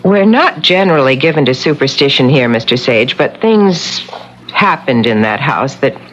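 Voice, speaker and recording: An actress playing a wary village real estate agent in a radio drama, speaking in a grave, guarded way. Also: female voice, very deep voice, expressive, moderate pace. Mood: grave, ominous. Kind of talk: 1970s radio drama acting. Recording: dull, noisy old radio broadcast.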